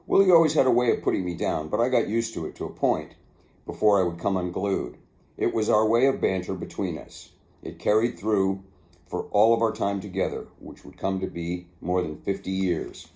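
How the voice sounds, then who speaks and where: An older man with a low voice tells his own story in an unhurried, somewhat halting way. His tone is dark and relaxed, like someone reading his memoir aloud. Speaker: author narrating own memoir. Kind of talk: casual storytelling, then reading aloud from a book.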